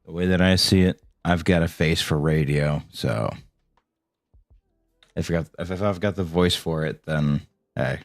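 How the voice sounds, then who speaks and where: A man casually talking to himself while playing a game, low-key and mumbling, with a voice he says people call soothing. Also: a little slow, vocal fry, pauses often, no echo, studio quality, soft background music. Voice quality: voice called soothing. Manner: low-key, mumbling, swears when frustrated. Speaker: streamer. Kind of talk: casual gameplay commentary.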